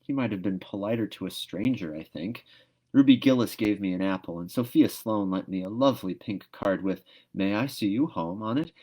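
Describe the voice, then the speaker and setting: A man's voice: on the low side, normal speed, a little mellow, natural voice. A man voicing a chatty, dreamy, dramatic young orphan schoolgirl from a storybook, in an earnest, gossipy ramble.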